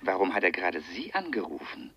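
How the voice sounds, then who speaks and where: An actor playing a wary, polite man questioning a caller in a German radio crime drama, formal and deliberate. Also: deep voice, big pitch swings, husky, no echo, dull audio, heavily acted. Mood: wary, probing. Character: wary, polite, theorising friend of a dead pianist.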